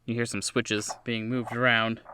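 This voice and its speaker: A man improvising narration as game master of a tabletop game, speaking haltingly with frequent pauses. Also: medium pitch, level tone, bright, forceful tone, smooth.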